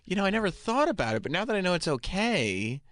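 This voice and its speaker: A teasing male guest with a very lively, swooping pitch who jumps into mocking impressions mid-sentence. He is recorded close on a dry studio mic with no room echo. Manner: teasing, quick jokes, acting out other people's voices. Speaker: guest, morning radio host. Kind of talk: casual interview chat.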